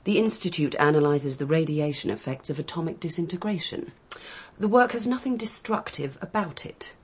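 A woman's voice: low voice, normal speed, slightly rough. An actress playing a cool, remorseless woman scientist in a radio drama, with a precise, clipped, detached delivery. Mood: composed.

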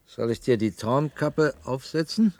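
A man's voice: mid-range voice, measured pace, a little mellow, dry recording, natural voice. A man acting in a radio drama as an idle, sneering shut-in who muses and repeats his words. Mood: smug, contented. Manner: musing, hesitant, repeating words. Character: idle, grumbling male shut-in, sneering at the world above.

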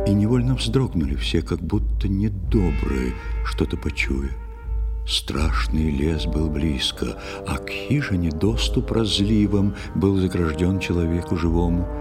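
Мужчина-рассказчик, выразительная напряжённая декламация. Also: male voice, low voice. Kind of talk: suspenseful scripted verse narration for audio play.

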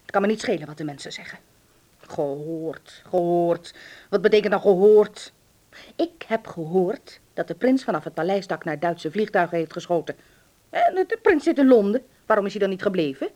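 An actress playing a strict, distraught middle-aged mother in a wartime radio play, swinging between hushed dismay and sharp scolding. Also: mid-range voice, lively intonation, moderate pace, lightly acted. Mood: indignant, stern.

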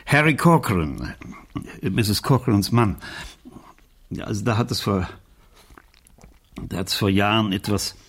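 An actor playing a strait-laced, embarrassed middle-aged uncle in a radio crime drama, husky and stammering with evasive hesitation. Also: male voice, on the low side, animated, measured pace, pauses often, heavily acted. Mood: awkward, evasive.

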